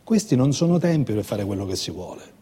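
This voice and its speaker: A resigned, cynical friend in a stage drama. His voice is very dark and breathy with animated pitch, confiding and pleading for caution. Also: fairly quick. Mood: cynical warning. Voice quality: husky, breathy. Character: resigned, cautious male friend urging compromise.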